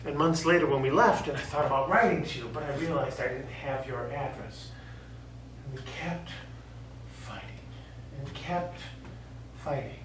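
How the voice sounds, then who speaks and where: An actor on stage playing a middle-aged American war veteran, remorseful and lovelorn, speaking in a halting, earnest, pleading way. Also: male voice, mid-range voice, natural voice. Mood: sorrowful. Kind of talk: stage play acting. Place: small theatre stage. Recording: echoey theatre stage, distant mic, faint noise.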